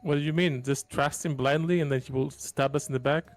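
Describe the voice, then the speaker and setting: A man's voice: fairly high pitch, steady tone, fairly quick. A man playing a tabletop roleplaying game, talking casually in character in a blunt, sarcastic way. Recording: music behind the voice.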